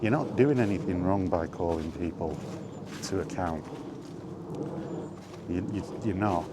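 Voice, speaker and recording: A man giving an unscripted opinion monologue in an emphatic, deliberate manner, leaning on rhetorical questions and repetition. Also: sits low, noisy background. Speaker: video host sharing opinions on gaming.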